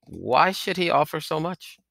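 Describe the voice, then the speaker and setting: Man acting as scared young boy, raised pitch, anxious questions, bright tone, storybook reading. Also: very animated, measured pace, gravelly, dry recording, full character voice. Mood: frightened. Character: frightened, questioning orphan boy.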